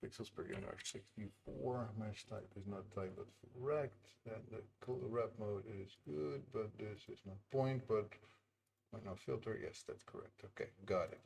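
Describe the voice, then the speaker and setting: A man's voice: on the low side, moderate pace, vocal fry, darkish tone, slight background noise. A man chatting casually on a live stream while building his game, laughing often and muttering to himself as he works. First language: Dutch. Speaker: indie game developer streaming.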